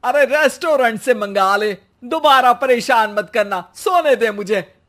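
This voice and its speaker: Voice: medium pitch, animated, a little slow, fairly bright tone. A voice actor playing a lazy, grumpy middle-aged mother-in-law in a cartoon, whiny and snappish. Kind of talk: cartoon voice acting.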